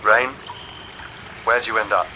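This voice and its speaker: An actor playing an alert, knowledgeable mission handler in a video game, earnest and explanatory. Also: male voice, lowish voice, level tone, takes it slowly, background noise. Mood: earnest, instructing. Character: alert, knowledgeable male field handler, concerned. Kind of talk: video game character acting.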